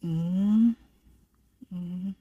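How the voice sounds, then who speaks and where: A young woman with an Ilocano accent acting a polite, hesitant niece in a radio drama, with drawn-out hums. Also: on the low side, slightly rough, no echo, narrow, tinny audio, heavily acted. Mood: shy, hesitant.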